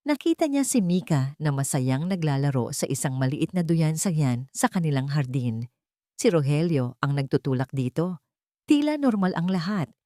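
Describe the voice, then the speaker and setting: A woman narrating a scripted dramatic story in an emotive, deliberate way. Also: low-pitched, mellow, dry recording, studio quality.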